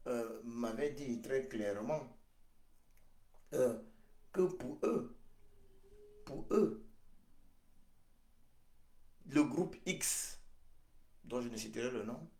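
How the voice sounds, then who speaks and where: Man, activist addressing supporters, slow deliberate delivery, hesitant fillers, echoey room. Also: medium pitch, dark tone. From Cameroon. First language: Ghomala' (Baham). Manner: emphatic, deliberate, repeats phrases with frequent hesitations. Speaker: activist leader. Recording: roomy echo.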